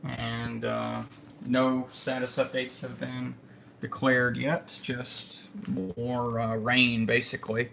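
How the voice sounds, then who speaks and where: Slow, halting man, gravelly voice. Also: medium pitch, monotone, a bit bright, faint music behind. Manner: halting, hesitant delivery with trailing pauses. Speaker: amateur storm spotter livestreamer. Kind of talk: casual livestream chat to viewers. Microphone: phone, held or propped nearby. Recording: noisy phone livestream audio.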